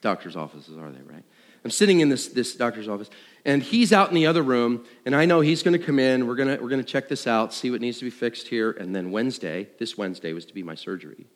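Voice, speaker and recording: A man tells a personal testimony to a congregation in a conversational, self-deprecating way, acting out dialogue and thoughts like an animated storyteller. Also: medium pitch, quick pace. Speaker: worship leader. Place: large room, speaking to an audience. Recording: live hall with long reverb.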